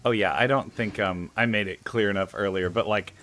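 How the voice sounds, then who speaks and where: A man's voice: fairly low pitch, brisk, a bit bright, slight background noise. A man giving casual, rambling let's play commentary in an informal way.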